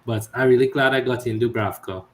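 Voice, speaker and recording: Man in an echoey room, measured tone. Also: medium pitch. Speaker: podcast co-host. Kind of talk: casual chat about fantasy football. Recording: echoey room with faint noise.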